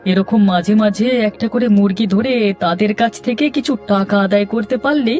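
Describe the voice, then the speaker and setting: A man's voice: high voice, brisk, a little mellow, natural voice. Man playing a curious village boy sidekick. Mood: eager. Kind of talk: cartoon dialogue acting. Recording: faint music behind.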